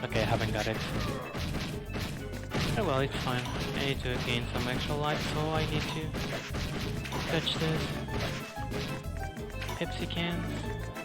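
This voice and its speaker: A man's voice: medium pitch, flat delivery, measured pace, pauses often, poor audio. A man giving casual live speedrun commentary in non-native English phrasing, with video game music and effects behind him.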